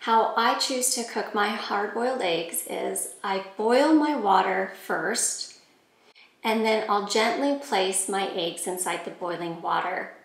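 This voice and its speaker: A woman in her forties calmly walking through cooking steps in a home kitchen, talking to a camera set a few steps back. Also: medium pitch, slow pace, reverberant, low-quality recording. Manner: calm, friendly step-by-step instructions. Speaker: cooking vlogger. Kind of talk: cooking tutorial voice-over and talk to camera.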